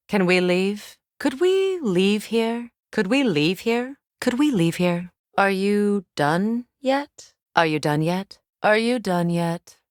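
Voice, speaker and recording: A woman playing a composed, commanding Norse goddess warrior in a video game, speaking in a measured, deliberate way. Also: mid-range voice, animated, dry recording, clean studio sound. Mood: impatient, weary. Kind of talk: game companion voice lines.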